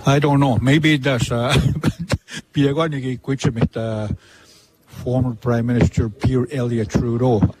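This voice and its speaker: A man hosting an interview, asking questions in a measured, hesitant way. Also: medium pitch, steady tone, a little mellow, low-quality recording. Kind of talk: casual interview talk.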